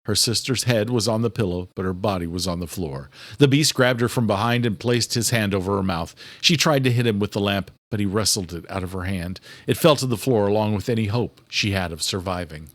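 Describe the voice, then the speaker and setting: A man's voice: fairly low pitch, brisk, hardly any pauses, no room sound. A man reading a horror thriller audiobook as scripted narration.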